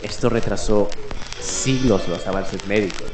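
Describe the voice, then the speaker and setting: A man hosting a late-night radio show, delivering a reflective, explanatory monologue with deliberate pauses in an announcer persona. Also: on the low side. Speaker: host of a late-night mystery radio program. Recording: roomy echo with music behind. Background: music.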